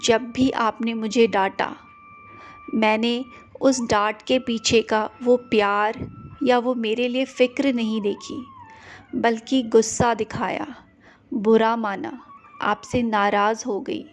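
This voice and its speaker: A woman guides a prayer meditation in a soft, gentle manner. Her voice sits a little high, and she takes her time over every phrase, repeating lines slowly for the listener. A faint hiss of background noise runs under her voice. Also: big pitch swings. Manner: slow, gentle, repetitive phrasing.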